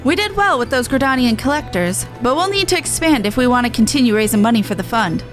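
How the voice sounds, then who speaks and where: A woman's voice: on the high side, expressive, fast. A woman game streamer reading dialogue aloud with chatty reactions, stumbling and correcting herself as she goes. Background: video game music.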